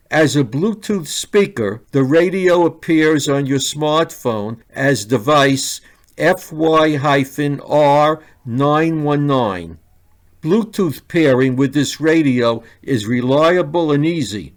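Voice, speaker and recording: A man reading a scripted product review in a deliberate, plainly enunciated way. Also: fairly high pitch. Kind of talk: scripted narration.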